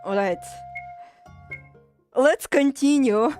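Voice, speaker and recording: A woman from Russia with a mild Russian accent chats loosely on a gaming stream, wandering between thoughts, swearing casually and reading messages aloud. Her voice sits somewhat high, and faint music plays beneath her. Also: drawn-out words, a little mellow. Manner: rambling, swears freely, self-deprecating, reads chat aloud. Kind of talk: casual gaming livestream chat.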